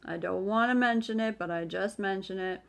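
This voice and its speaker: A woman voicing a gloomy, complaining old grey donkey who talks slowly and mournfully. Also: medium pitch, creaky, lightly acted. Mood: gloomy grumbling. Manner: slow, mournful grumbling.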